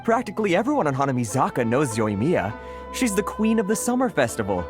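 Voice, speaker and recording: A man's voice: on the high side, very animated, fast, music in the background. An actor playing a meek, earnest townsman in a video game, polite in his delivery. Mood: grateful, earnest. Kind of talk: animated video game voice acting.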